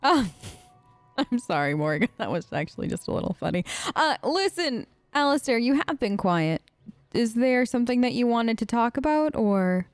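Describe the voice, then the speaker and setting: A woman's voice: fairly high pitch, sing-song, normal speed, no room sound. A woman hosting a role-play let's play, improvising conversationally in character at a close microphone. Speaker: gaming host.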